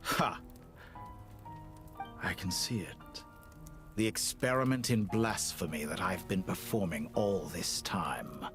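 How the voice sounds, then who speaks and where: An actor playing a cold, cultured villainous scientist in a video game, speaking in eloquent, measured, theatrical phrases. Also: male voice, sits low. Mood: wry, resigned. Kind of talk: scripted video game voice acting. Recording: music under the voice.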